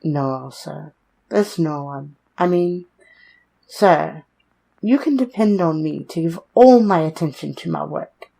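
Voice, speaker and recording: Woman playing earnest meek young lady, polite, hesitant, slow, expressive pitch swings, old-time radio drama. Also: vocal fry, heavily acted. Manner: polite, timid, hesitant, formal. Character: meek, earnest, deferential young woman seeking a secretary job.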